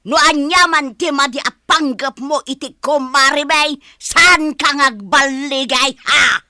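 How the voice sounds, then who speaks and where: A woman playing a bossy, protective village commander in an Ilocano radio comedy drama, speaking loudly and emphatically with an Ilocano accent. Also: high-pitched, unhurried, no echo, studio quality, heavily acted. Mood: stern, threatening. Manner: loud, emphatic, exclamatory. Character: bossy, scolding female village commander, fiercely protective friend.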